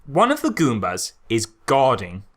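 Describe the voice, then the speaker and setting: A British man co-hosting a film podcast, chatting casually and comedically, animated and given to exasperated repetition for emphasis. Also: mid-range voice, measured pace.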